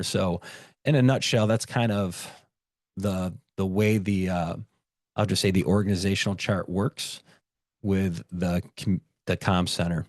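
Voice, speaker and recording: An American man in middle age speaks in a low voice with very little room sound around it. He explains things informally and step by step, like a plain-spoken shift supervisor giving a training talk. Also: darkish tone, dry recording, studio quality. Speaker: 911 dispatch shift supervisor giving a presentation.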